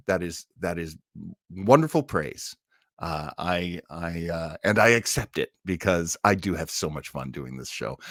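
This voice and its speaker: A man's voice: lowish voice, sharp tone, no echo. Chatty, rambling man hosting a livestream, dry sound. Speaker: host of an online listening-party show. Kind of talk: casual livestream sign-off chat.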